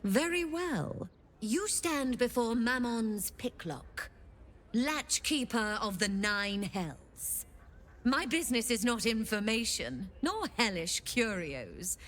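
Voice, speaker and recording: An actress playing a sly infernal fixer who runs an occult shop in a video game, speaking in an arch, theatrical, deliberate way. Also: female voice, on the high side, lively intonation, fairly bright tone, full character voice. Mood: businesslike, haughty. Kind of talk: video game character acting.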